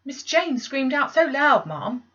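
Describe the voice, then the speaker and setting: A woman's voice: high voice, very animated, measured pace, a bit bright, highs cut off, pitched above the performer's own voice, heavily acted. A woman voicing a concerned female nursery servant with an insistent manner, in a storybook reading. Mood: pleading.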